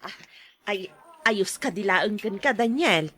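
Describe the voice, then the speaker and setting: A woman's voice: medium pitch, lively intonation, a little slow, fairly bright tone. A woman playing a polite, chatty, slightly hesitant family friend in a radio drama.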